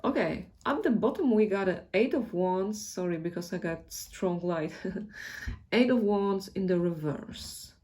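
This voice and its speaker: Slow-talking woman with a Polish accent. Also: medium pitch, a little mellow. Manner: hesitant, searching for words, laughs often. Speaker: tarot reader. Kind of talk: casual tarot reading.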